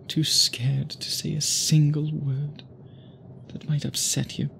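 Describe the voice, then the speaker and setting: A man playing an obsessed, guilt-ridden immortal vampire suitor in an intimate audio roleplay, speaking formally in halting, brooding phrases. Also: mid-range voice, gravelly, a little hiss or hum. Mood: haunted, hushed. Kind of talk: intimate ASMR roleplay, dramatic monologue.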